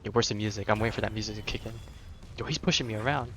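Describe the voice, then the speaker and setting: A man's voice: lowish voice, racing, a little hiss or hum. A young man doing casual live game commentary in a slangy, reactive way. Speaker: game streamer.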